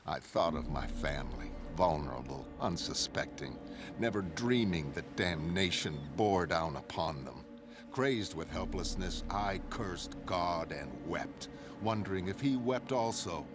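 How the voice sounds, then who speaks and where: A man playing an anguished, grave shipwrecked sailor who narrates a pirate horror tale in solemn, measured, literary phrases. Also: deep voice, lively intonation. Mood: anguished, bleak. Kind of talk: brooding first-person audiobook narration. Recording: music under the voice.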